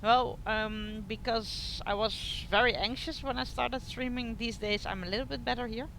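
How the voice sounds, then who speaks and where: A woman's voice: a little high, fairly even intonation, moderate pace, creaky, slight background noise, poor audio. A woman from the Netherlands with a Dutch accent, chatting casually as she streams a game and answers her viewers. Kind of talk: casual livestream playthrough commentary. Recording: loud game music behind. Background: loud game music.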